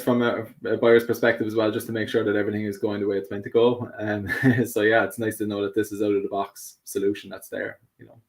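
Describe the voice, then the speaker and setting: A man from Ireland with an Irish accent, answering interview questions casually, hesitant and full of fillers, laughing easily. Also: lowish voice. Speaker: guest.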